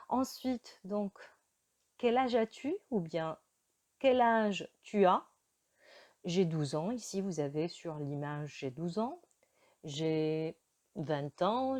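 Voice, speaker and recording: Woman teacher, slow and mellow, patient beginner lesson with pauses. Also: medium pitch. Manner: slow, careful teaching delivery with frequent hesitations. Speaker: French teacher.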